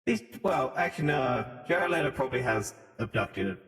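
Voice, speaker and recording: A man's voice: mid-range voice, lively intonation, moderate pace, a bit bright, soft background music. A man co-hosting a podcast in casual, joking banter, sweary and irreverent, through a voice modulator. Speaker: podcast co-host. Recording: echoey hall-like reverb on voice.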